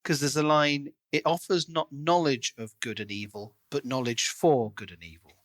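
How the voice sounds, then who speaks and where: A man co-hosting a podcast, chatting casually and enthusiastically about a book in an expressive way. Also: mid-range voice, moderate pace, no room sound. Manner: enthusiastic, expressive, acts out quoted lines. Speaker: podcast co-host.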